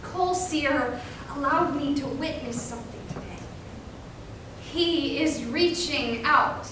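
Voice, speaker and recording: A regretful child psychologist, played by a woman dictates notes in a staged drama. The delivery is slow, hushed and halting, with a high pitch. It is heard from a distance in a very echoey hall. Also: background noise. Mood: quiet regret. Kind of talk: staged readers theater.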